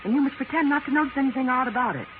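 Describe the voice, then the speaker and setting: Guarded, earnest 1940s radio wife played by a woman, formal delivery, high expressive voice, narrow vintage recording. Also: racing, noisy background, natural voice. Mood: earnest, protective. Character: proper, guarded wife of an eccentric scientist.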